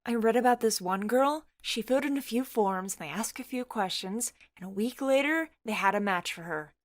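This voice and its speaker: A woman voicing a bubbly, teasing young woman, a loyal best friend, playful and excitable in an audio story. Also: fairly high pitch, moderate pace, no room sound, pitched above the performer's own voice, lightly acted. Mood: insistent, amused.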